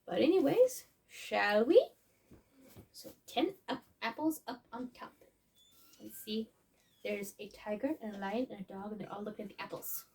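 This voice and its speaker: A woman's voice: medium pitch, animated, moderate pace, darkish tone, slight background noise, natural voice. A woman playing a chatty, silly robot who hosts a playful children's story time with sing-song exclamations and asides. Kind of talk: children's read-along with asides.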